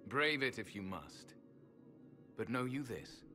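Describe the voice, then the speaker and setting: An actor playing a solemn ancient elven temple guardian in a fantasy game, with grave, formal, archaic speech. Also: male voice, medium pitch, expressive, slow pace, pushed and bright. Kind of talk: video game fantasy voice acting. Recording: music behind the voice.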